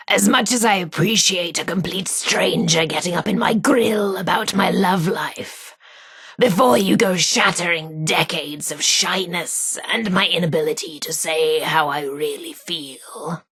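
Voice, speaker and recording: Shy lovestruck radio dj gal in a game, really raspy breathy voice, slangy, bit of fry. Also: female voice, on the low side, fairly bright tone, dry recording, heavily acted. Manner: informal, slangy, hesitant about feelings. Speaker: voice actor in a video game. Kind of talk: video game character dialogue.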